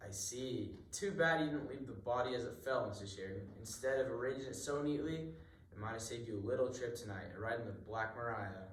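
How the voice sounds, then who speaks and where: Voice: mid-range voice, a little fast, close to an everyday voice. An amateur actor playing a courteous, methodical police inspector in a radio mystery. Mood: calmly accusing. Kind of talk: amateur radio drama acting. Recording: echoey room, distant mic, faint noise.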